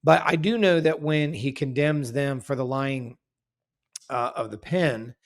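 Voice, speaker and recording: A man's voice: mid-range voice, measured pace. A man as a guest researcher in a casual scholarly discussion, careful and self-correcting, explaining his findings at length.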